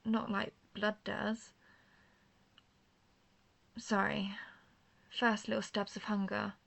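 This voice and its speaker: A woman's voice: mid-range voice, vocal fry, a bit bright, exaggerated voice. A woman playing an intense, guarded vampire hunter, herself a vampire, in a scripted roleplay audio drama, speaking earnestly and formally straight to the listener. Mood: hesitant, hungry. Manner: earnest, formal phrasing, addressing the listener directly.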